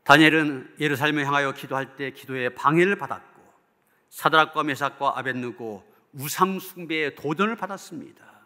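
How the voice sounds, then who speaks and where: A man's voice: fairly high pitch, brisk, pushed and bright. A man preaching as a pastor in an earnest, emphatic and rhetorical manner. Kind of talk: sermon preaching, closing in prayer. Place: church hall. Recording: long hall reverb.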